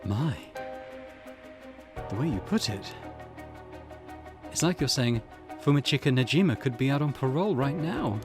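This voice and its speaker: A man's voice: mid-range voice, lively intonation, normal speed, pauses often, voice pushed up, lightly acted. A man voicing a polite, inquisitive man in a visual novel, courteous and insinuating, with music behind. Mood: courteous, probing.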